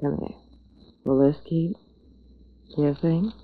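An actress playing a wary, flirtatious, tough-talking dame in a 1940s radio noir drama, cool and insinuating. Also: female voice, deep voice, slow pace. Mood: seductive. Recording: muffled vintage radio recording.

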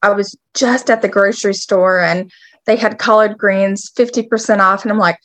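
A woman's voice: medium pitch, fairly even intonation, a bit bright, no room sound. Bubbly woman co-host, eager chatter. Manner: enthusiastic, bubbly, quick bursts. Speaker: podcast co-host, registered dietitian. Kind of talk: casual chat.

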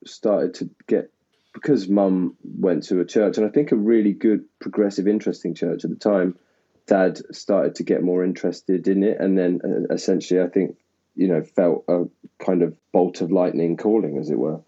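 Mellow low-voiced man from Essex, rambling. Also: steady tone, moderate pace, slightly rough. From England (Essex). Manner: rambling, self-deprecating, laughs often. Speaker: actor, interview guest. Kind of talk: casual interview conversation. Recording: dull lo-fi sound.

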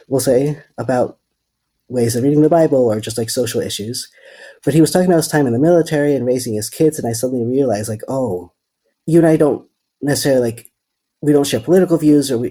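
Husky, mellow man. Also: medium pitch. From Netherlands. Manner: thoughtful, hesitant, many fillers. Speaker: seminary student and deacon. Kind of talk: reflective interview answers.

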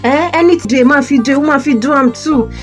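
High-voiced, commanding Nigerian prophetess acted by a woman. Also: quick pace, soft background music, natural voice. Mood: firm, reassuring. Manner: emphatic, questioning tags. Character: confident, commanding prophetess Deborah, motherly and firm. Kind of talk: audio Bible play in Nigerian Pidgin and English.

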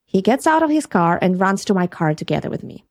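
A woman's voice: mid-range voice, big pitch swings, fairly quick, no echo, studio quality. Ukrainian woman telling a personal story. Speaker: podcast host. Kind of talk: first-person storytelling podcast.

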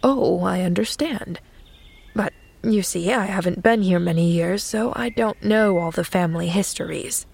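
A woman's voice: fairly low pitch, normal speed, vocal fry, darkish tone, breathy edge. A woman reading aloud and voicing a stern, troubled middle-aged male minister who speaks solemnly and declaims scripture. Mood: weary.

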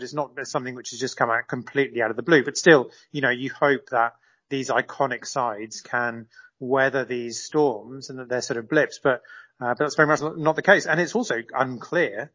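A man's voice: medium pitch, moderate pace, fairly bright tone, highs cut off. A man serving as a correspondent in a live radio interview, explaining at length with frequent fillers and restarts.

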